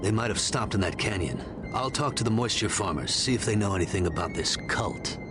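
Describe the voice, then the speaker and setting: A man's voice: fairly low pitch, expressive, a little fast, music in the background, natural voice. A man voicing a seasoned, easygoing and wry Jedi mentor in a video game.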